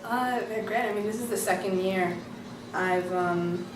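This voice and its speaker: A woman's voice: medium pitch, measured pace, background noise. A young woman student talking casually and enthusiastically about her class, with many fillers. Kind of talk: interview, casual reflection. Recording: echoey room from far off, music behind.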